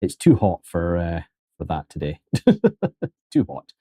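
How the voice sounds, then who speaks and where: A man with a fairly low, mellow voice talks his viewers through a sculpting session on a live stream. He is chatty and easygoing, cracks small jokes and calls his viewers folks. The sound is close and dry. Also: huge pitch swings, takes it slowly, slightly rough, no room sound. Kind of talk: casual live-stream tutorial commentary.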